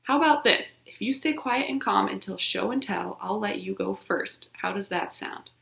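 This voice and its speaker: A woman voicing a patient, dry-humored male schoolteacher who speaks calmly. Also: medium pitch, normal speed, creaky. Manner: calm, gently wry. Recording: roomy echo.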